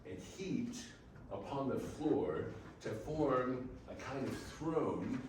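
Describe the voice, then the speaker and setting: A man narrating a scripted story for readers theater in a measured, theatrical way. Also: medium pitch, expressive, a little mellow. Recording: very echoey room, distant mic, faint noise.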